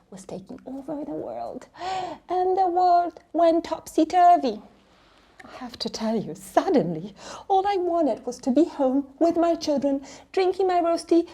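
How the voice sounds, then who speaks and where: High, animated woman storyteller, slow and expressive. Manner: expressive, dramatic, drops into hushed whispers. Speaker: host of a children's read-along and craft show.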